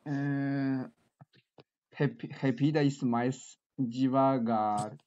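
Chinese guy in his 20s on a video call, really slow, halting, keeps repeating words, kinda muffled sound. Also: medium pitch, fairly even intonation, background noise. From China. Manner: halting, hesitant, repeats words while searching. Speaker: language learner and channel host. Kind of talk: casual video chat. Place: small room indoors. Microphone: webcam on a video call. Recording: dull lo-fi video call audio.

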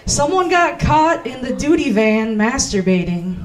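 A woman's voice: sits high, sing-song, unhurried, very noisy. A woman in her thirties doing stand-up as host in a small comedy club, playful and self-mocking on a handheld mic.

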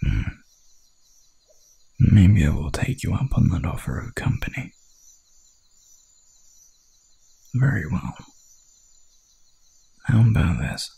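Werewolf predator, extremely husky, slow-paced, hungry, teasing menace, seductive growl, ASMR roleplay. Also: male voice, low voice, big pitch swings, warm tone, exaggerated voice. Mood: teasing, commanding. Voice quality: gravelly, breathy growl.

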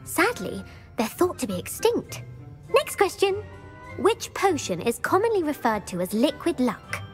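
A woman's voice: high voice, wildly swooping pitch, a little slow, soft background music, full character voice. A young woman voicing a bookish student in a video game who eagerly lectures and quizzes others. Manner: eager and lecturing, bursts of praise.

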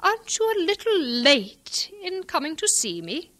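An actress playing a stern, domineering Victorian missionary guardian in an audio drama, indignant and scornful. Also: female voice, extremely high pitch, big pitch swings, measured pace, fairly bright tone, heavily acted. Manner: indignant, clipped, imperious.

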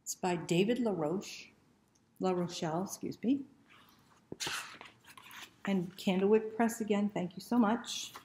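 A woman's voice: medium pitch, measured pace, long pauses, dark tone, a little hiss or hum. A woman in her fifties hosting story time, reading aloud and chatting to young children with questions and simple instructions. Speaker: library story time host. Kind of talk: children's picture book read-aloud and chat. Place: small indoor room. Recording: roomy indoor echo.

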